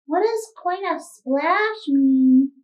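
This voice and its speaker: Very high, childlike girl puppet. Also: lively intonation, drawn-out words, distant mic, dull audio, exaggerated voice. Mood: curious. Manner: wondering, childlike. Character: curious young girl puppet, sweet and eager. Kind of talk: children's puppet show dialogue.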